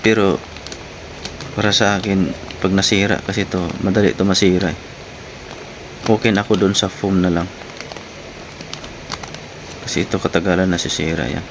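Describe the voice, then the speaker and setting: A man's voice: deep voice, normal speed, long pauses. A man giving a casual product review to viewers in short, informal phrases. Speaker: vlogger reviewing gear. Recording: clearly noisy, steady background hum.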